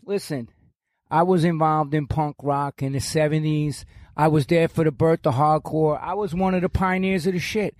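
A man in his sixties, a podcast guest, talking loudly and ranting with heavy swearing. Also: on the high side, fairly even intonation, moderate pace, dry recording, clean studio sound. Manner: loud, profane, ranting. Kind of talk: heated podcast conversation.

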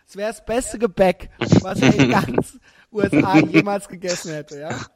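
A German man in his thirties hosting a podcast, chatting casually and telling animated, slangy stories with frequent laughter. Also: high voice, normal speed, over a noisy crowd. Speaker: podcast host. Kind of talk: casual chat.

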